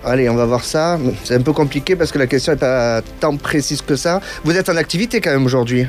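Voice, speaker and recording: A man's voice: mid-range voice, fairly quick, faint music behind. A man hosting a radio call-in consultation, reassuring callers while hesitating with many fillers and restarts. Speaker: radio clairvoyant host taking calls.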